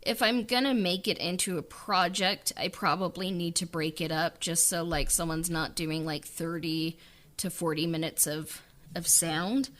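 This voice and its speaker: A woman's voice: fairly low pitch, fairly even intonation, measured pace, fairly bright tone. A woman who wrote and produced an audio drama, talking casually and rambling with many filler words. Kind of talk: casual interview chat.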